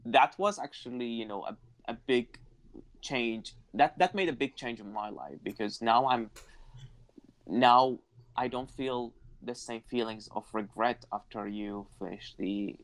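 A man's voice: mid-range voice, unhurried. A man from Iraq, a guest telling his life story in an earnest, reflective way and laughing easily. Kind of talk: personal life story in conversation.

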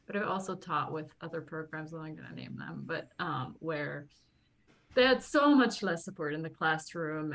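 A woman's voice: on the low side, a bit bright. A woman in her thirties from Lebanon, chatting casually as an interview guest and often laughing as she talks. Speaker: guest, performing artist and acting teacher.

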